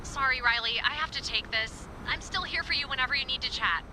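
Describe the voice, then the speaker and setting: A high-voiced, science-minded woman in a video game. She is anxious and halting as she thinks aloud. Her radio transmission has clear background noise. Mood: worried. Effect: walkie-talkie radio. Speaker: researcher on a radio. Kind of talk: naturalistic video game acting.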